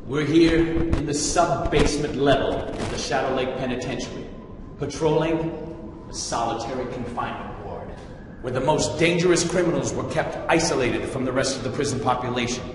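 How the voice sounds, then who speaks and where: A man's voice: mid-range voice, moderate pace, natural voice. Brash ghost hunter played by a man in echoing hall. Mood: hushed intensity. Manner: dramatic, taunting bravado with slang. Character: brash, cocky male TV ghost hunter, theatrical. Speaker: paranormal investigator. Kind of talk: live-action video game cutscene acting. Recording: roomy echoing hall, faint noise.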